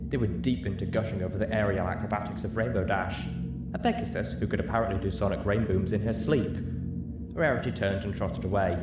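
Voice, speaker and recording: A man reading a story as a dramatic, scripted narration. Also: on the low side.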